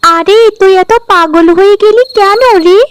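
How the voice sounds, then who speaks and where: A woman's voice: very high voice, steady tone, measured pace. A woman voicing a cruel, scheming young sister-in-law in a fairy-tale cartoon, with a sneering, menacing manner. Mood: scheming, impatient. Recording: background music under the voice.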